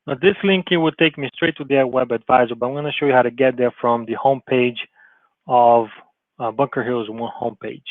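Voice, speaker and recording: A man's voice: mid-range voice, steady tone, brisk, dry recording. A male college advisor giving an informal screen-share tutorial, talking loosely with restarts and frequent "okay?" check-ins. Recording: tinny phone-like narrow sound, dry.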